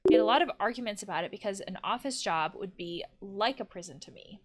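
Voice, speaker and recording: Woman reading as a brooding male therapy patient, expressive. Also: medium pitch, fast, very noisy, natural voice. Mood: bitter. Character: bitter, brooding man confiding as a therapy patient. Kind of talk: reading visual novel dialogue aloud.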